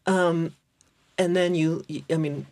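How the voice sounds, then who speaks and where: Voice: mid-range voice, steady tone, takes it slowly, dry recording. A podcast host who teaches writing, talking casually and effusively with lots of fillers and restarts. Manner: rambling, many fillers and restarts, warmly effusive. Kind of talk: casual interview chat.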